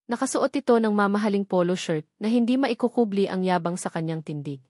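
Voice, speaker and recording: A woman narrating a romantic drama story in a steady storytelling delivery. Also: mid-range voice, fairly quick, dry recording, studio quality.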